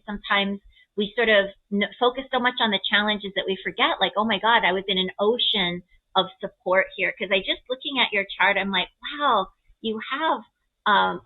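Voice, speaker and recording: A woman speaking as an astrologer, explaining a chart reading conversationally in a gentle, encouraging way with simple analogies. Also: medium pitch, moderate pace, phone-quality sound.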